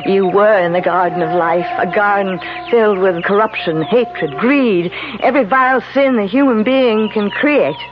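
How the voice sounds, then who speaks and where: An actress playing Death as a gentle motherly woman in a 1970s radio drama, soothing and solemn. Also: mid-range voice, normal speed, few pauses, close to an everyday voice. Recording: muffled old radio broadcast with music.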